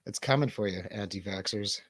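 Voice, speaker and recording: A man's voice: lowish voice, brisk. A man hosting a livestream radio show with casual, comedic chat, rambling and swearing irreverently.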